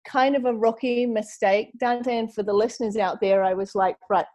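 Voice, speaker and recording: A woman's voice: on the high side, steady tone, moderate pace, mellow. A woman in her forties from New Zealand with a New Zealand accent, a podcast guest chatting casually and often quoting her own thoughts. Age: 40s. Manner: chatty, lots of fillers and quoted self-talk. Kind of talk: casual interview chat.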